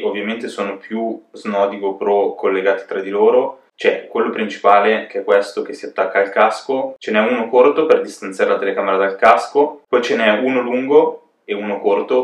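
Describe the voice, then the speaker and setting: A man giving a casual, chatty hands-on tutorial as a vlog host at home. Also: on the low side. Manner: colloquial, chatty, explanatory. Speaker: motovlogger and channel host. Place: indoors, living room at home. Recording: echoey home room.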